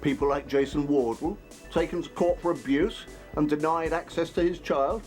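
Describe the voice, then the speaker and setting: A man's voice: on the high side, normal speed, a little mellow, faint music behind, close to an everyday voice. A man acting a sceptical, needling radio host in a drama, with a formal, challenging manner.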